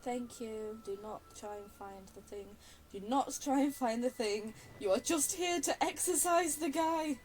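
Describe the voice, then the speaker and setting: Female gamer, high voice, self-directed casual commentary, playful, unhurried delivery. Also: a little mellow, poor audio. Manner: talks to herself, playful. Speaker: game streamer. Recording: faint background hiss.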